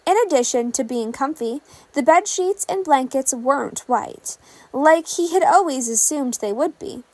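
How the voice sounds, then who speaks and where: A woman's voice: sits high, expressive, unhurried, darkish tone, no echo. A woman reading fan fiction aloud as a scripted story narration.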